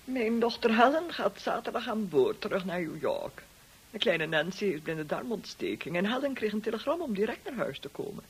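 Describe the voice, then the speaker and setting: An actress playing an imperious, gossipy older rich woman in a radio drama, husky and haughty, with a complaining drawl. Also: mid-range voice, very animated, normal speed. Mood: brisk, fed up.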